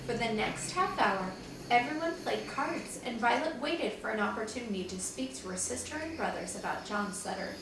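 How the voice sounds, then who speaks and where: A woman's voice: fairly high pitch, normal speed. A woman reading a children's chapter book aloud like a teacher to her class, expressively, with small asides to listeners. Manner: expressive, acts out dialogue with asides. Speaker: second-grade teacher reading aloud to pupils. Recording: echoey, distant mic, noticeable background noise.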